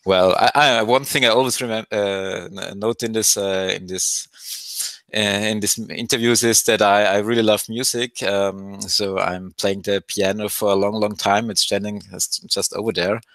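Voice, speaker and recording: A man's voice: lowish voice, steady tone, normal speed, a bit bright, no echo. A man speaking from home over a video call, answering interview questions thoughtfully with many fillers. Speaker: open source maintainer. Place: home room with a piano.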